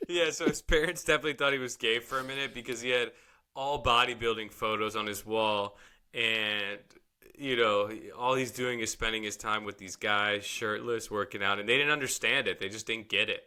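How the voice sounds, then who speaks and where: A man's voice: on the low side, steady tone, normal speed, pushed and bright, clear, resonant tone. A young man co-hosting a podcast, easygoing and agreeable in casual chat. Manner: easygoing, agreeable, laughs along. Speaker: podcast co-host.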